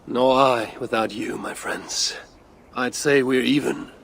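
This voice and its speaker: An actor playing a grave warrior god in a video game, formal and measured. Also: male voice, medium pitch, gravelly, fairly bright tone. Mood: solemn. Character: solemn, grave Norse god warrior lord. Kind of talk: video game cutscene acting. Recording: long echoing reverb, faint ambient noise.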